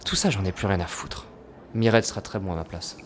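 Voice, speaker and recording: An actor playing a jaded, cynical crime boss with a blunt, dismissive manner in an audio drama. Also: male voice, deep voice, a little fast, slight background noise, lightly acted. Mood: bitter, dismissive. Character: jaded, cynical male crime boss, former elite fighter.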